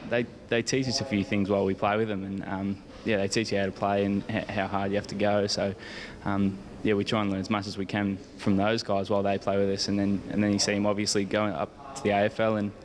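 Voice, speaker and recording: A man's voice: fairly low pitch, monotone, quick pace, few pauses. A young man with an Australian accent giving casual, hesitant, rambling answers as an interviewed athlete. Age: young adult. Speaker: Australian rules footballer being interviewed.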